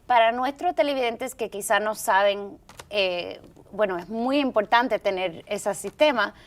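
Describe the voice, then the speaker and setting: A woman's voice: mid-range voice, measured pace. A woman hosting a Sunday television interview show, courteous and admiring toward her guest. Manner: courteous, admiring, formal introductions. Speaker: host.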